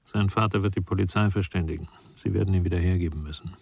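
Low, gravelly, probing confidant. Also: male voice, fairly even intonation, a little mellow, heavily acted. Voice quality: gravelly, husky. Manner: measured, questioning. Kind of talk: German radio crime drama acting.

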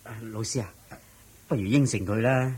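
A man's voice: medium pitch, moderate pace, darkish tone, husky, close to an everyday voice. A man playing a polite, helpful disciple in a radio drama, with a Hong Kong Cantonese accent. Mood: deferential. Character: polite, steady male disciple, calming and helpful.

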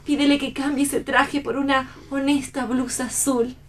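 Radio drama: actress as elegant, disillusioned young woman, high-pitched, melancholy, theatrical. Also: level tone, moderate pace, lightly acted. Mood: resigned, regretful.

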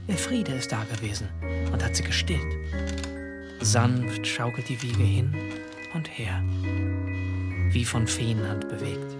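A man narrating a literary radio drama from a script, measured, in short poetic phrases. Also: medium pitch, animated, loud music behind the voice.

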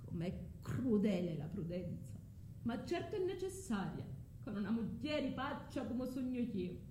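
An actress playing a bitter, sarcastic exiled wife and mother in a theatrical radio monologue, with a southern Italian accent and dialect words. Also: mellow. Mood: bitter sarcasm. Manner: dramatic, ironic, with dialect words. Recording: roomy echo, low rumbling noise.

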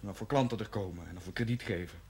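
A man's voice: sits low, racing, a little mellow. An actor playing a defiant, resentful young working man in a television drama, blunt and combative. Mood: bitter, subdued. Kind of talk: 1970s television drama acting.

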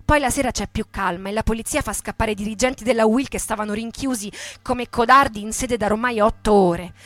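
An actress giving an emphatic, indignant radio theatre reading as a young militant recalling street riots. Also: female voice, fairly high pitch, natural voice. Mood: intense, proud. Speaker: actress and narrator.

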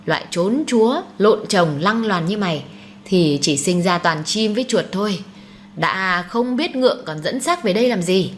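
A woman's voice: mid-range voice, expressive, normal speed, natural voice. A woman narrator acts a scheming second wife in an audiobook melodrama. She is contemptuous and mocking, a spiteful villain. The recording has some background noise. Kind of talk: dramatised audiobook storytelling.

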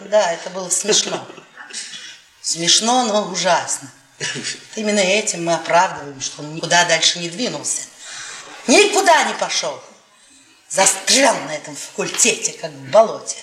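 An actress playing a brash, mocking middle-aged professor's wife in a radio play, telling things in an earthy, animated way. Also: female voice, lowish voice, normal speed, long pauses. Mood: brooding. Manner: earthy, laughing, animated storytelling. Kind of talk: theatrical radio play acting. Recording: roomy stage sound with audience noise.

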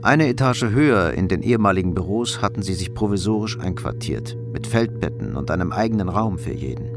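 Low-voiced male narrator over loud music. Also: lively intonation, brisk. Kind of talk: scripted crime story narration.

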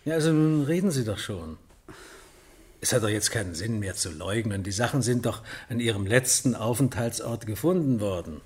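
An actor playing an impatient, sceptical police inspector who questions probingly in a radio drama. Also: male voice, lowish voice, lively intonation, normal speed, husky.

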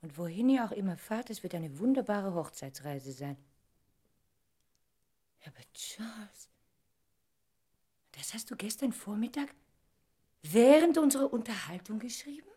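An actress playing an emotional, impulsive Broadway star of twenty-nine in a radio play, with expressive, theatrical delivery. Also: female voice, mid-range voice, unhurried, pauses often, husky. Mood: hesitant, astonished. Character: 29-year-old Broadway star, emotional, impulsive, devoted woman.

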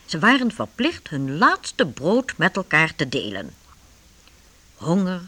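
A narrator telling a historical story for a Dutch radio drama in an expressive, deliberate scripted style. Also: mid-range voice.

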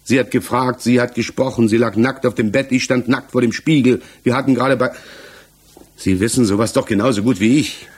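A man acting a troubled, evasive defendant in a 1960s German radio crime drama, halting and searching for words as he defends himself. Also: medium pitch, steady tone, husky, close to an everyday voice. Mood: agitated, defensive. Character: troubled male defendant, married father, haunted and evasive. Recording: 1960s mono radio production.

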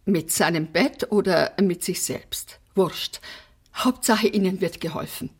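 An actress playing a brash, eccentric apartment resident in a radio drama, theatrical, flippant and defiant. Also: female voice, on the low side, measured pace, husky. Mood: brisk, bossy. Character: brash, eccentric, bossy female apartment resident opening others' letters. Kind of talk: German radio drama acting.

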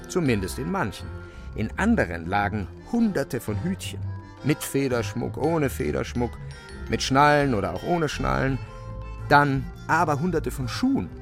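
A man's voice: medium pitch, vocal fry. A man narrating a literary radio drama as a dramatic storyteller.

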